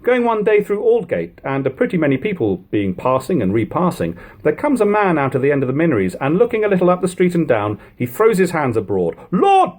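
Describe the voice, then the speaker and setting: A man narrating an eighteenth-century account as a dramatic, expressive audiobook reading. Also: fairly high pitch, a little fast, hardly any pauses.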